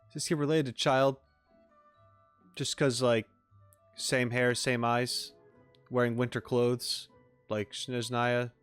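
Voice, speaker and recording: A man's voice: mid-range voice, flat delivery, slow pace, long pauses, smooth, soft background music. A man giving casual, dry, sarcastic let's-play commentary as a gaming streamer, close to the mic.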